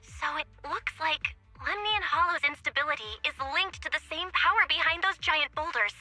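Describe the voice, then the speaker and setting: A woman's voice: sits high, normal speed, bright, forceful tone, soft background music. An actress playing a serious, caring young female mission operator giving briefings in a video game. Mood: concerned, serious. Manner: informative, concerned.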